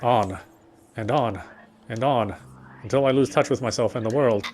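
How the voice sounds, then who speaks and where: A man streaming a game, reading its text aloud as measured, dramatic narration with occasional stumbles. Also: mid-range voice, heavy background noise. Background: game music.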